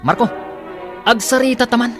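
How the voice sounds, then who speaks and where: A man's voice: extremely high pitch, normal speed, vocal fry, over music. Man playing a cocky spoiled rich boy. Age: young adult. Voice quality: cocky, laughs often. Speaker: college-age son. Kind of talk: Ilocano radio-drama acting.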